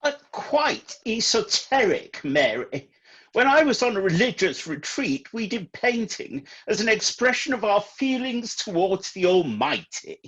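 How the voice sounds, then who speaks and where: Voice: medium pitch, big pitch swings, a little slow, a bit bright, breathy edge, full character voice, low-quality recording. An actor playing a mild, pious, hesitant priest in a comic radio play. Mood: mild, reflective.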